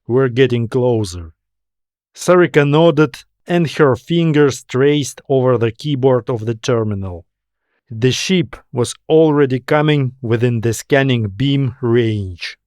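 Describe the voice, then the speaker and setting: Slow-paced male sci-fi narrator. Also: medium pitch, a little mellow, no room sound, clean studio sound. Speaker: author and narrator. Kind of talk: scripted science fiction story narration.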